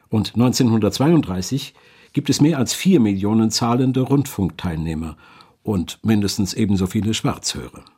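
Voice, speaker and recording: A man's voice: on the low side, dark tone, husky. A man delivering scripted narration as a documentary narrator.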